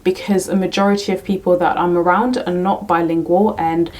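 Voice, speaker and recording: A woman's voice: on the low side, steady tone, brisk, a little mellow. A young woman from Kenya, a teacher, casually answering questions to camera, repeating "really, really" and "to be honest" often. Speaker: teacher and YouTube tutor.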